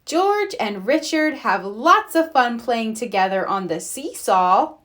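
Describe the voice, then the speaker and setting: A woman narrating a children's storybook in an expressive way for young listeners. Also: a little high, takes it slowly. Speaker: read-aloud storyteller.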